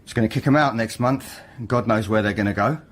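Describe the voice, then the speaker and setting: Man acting a bitter, husky confessed killer. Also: fairly low pitch, close to an everyday voice. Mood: aggrieved, despairing. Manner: halting, defiant. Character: bitter, ruined working-class murderer confessing to police. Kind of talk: naturalistic radio drama acting.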